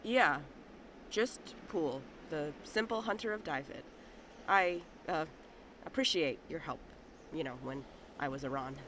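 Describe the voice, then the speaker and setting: A woman's voice: low-pitched, fairly bright tone, slight background noise, low-quality recording. A woman playing a humble, sincere young hunter in an audio drama, hesitant and bashful. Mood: shy, relieved. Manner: hesitant, unhurried.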